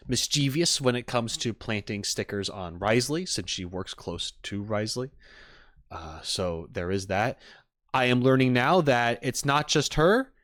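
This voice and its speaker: A man's voice: fairly low pitch, fairly bright tone, no echo, studio quality. A man hosting a stream, giving casual, enthusiastic and analytical reaction commentary in a rambling way as he talks with chat. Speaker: streamer host.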